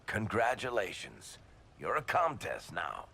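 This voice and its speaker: A man playing a wry, irreverent dwarf in a video game, with a gravelly voice and casual sardonic banter. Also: lowish voice, wildly swooping pitch, measured pace, pushed and bright, slight background noise, full character voice. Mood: warm, teasing. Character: wry, irreverent dwarf newly elected as city ruler.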